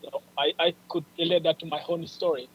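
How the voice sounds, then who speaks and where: A young man with an East African accent speaks quickly in a fairly high voice. He answers as a panelist on a video call, telling personal stories in an eager, halting way. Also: fairly even intonation, a little hiss or hum, poor audio. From South Sudanese, raised in Ethiopia and Kenya. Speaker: panelist, youth advocate.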